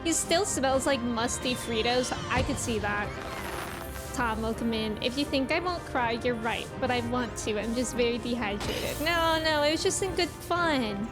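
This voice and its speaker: A woman's voice: fairly high pitch, moderate pace, over music, low-quality recording. A woman chatting casually and playfully as she livestreams a video game, reacting and greeting viewers.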